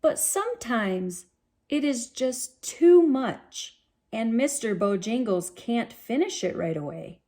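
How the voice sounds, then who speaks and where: High-pitched woman reading slowly to children. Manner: expressive, sing-song on refrains, addresses children directly. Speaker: children's storybook reader. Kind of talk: read-aloud storytelling for young children.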